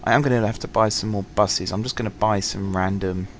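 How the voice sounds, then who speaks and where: A man with a sore throat, slightly husky, casually commenting on a game through a headset, rambling and apologetic with many ums. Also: on the low side, fairly even intonation, brisk, slight background noise. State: sore throat, fighting coughs. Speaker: gaming channel host. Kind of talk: casual let's-play commentary.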